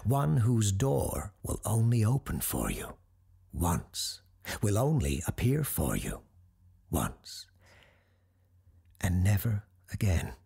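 An actor playing an eerie, learned radio host who tells supernatural tales in a measured, ominous way with deliberate pauses, his voice husky and gravelly. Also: on the low side, huge pitch swings, dark tone, heavily acted. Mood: measured, mysterious. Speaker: paranormal advice show host. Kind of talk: scripted dramatic storytelling.

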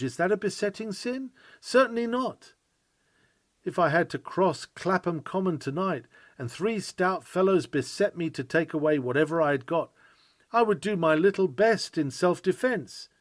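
A man reading a sermon aloud in an oratorical style, with earnest, emphatic, measured phrasing. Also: high voice, animated, dry recording. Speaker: sermon reader.

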